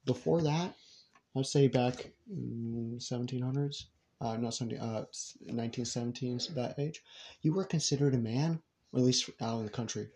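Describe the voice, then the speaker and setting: A man's voice: medium pitch, normal speed, dark tone. A young man in casual group conversation, speaking in an emphatic, opinionated way.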